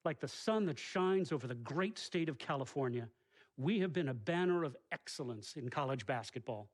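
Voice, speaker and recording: A man performs a grandiose college recruiter in a sports game cutscene. His delivery is lofty and solemn, promising greatness in a medium-pitched voice. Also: animated, normal speed, natural voice. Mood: lofty, persuasive.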